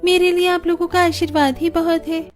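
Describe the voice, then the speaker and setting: A woman's voice: very high voice, flat delivery, moderate pace, soft background music. A woman playing a humble, uncomplaining young bride in a family story, polite and accepting. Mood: humble, reassuring. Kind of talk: dramatised Hindi family story acting.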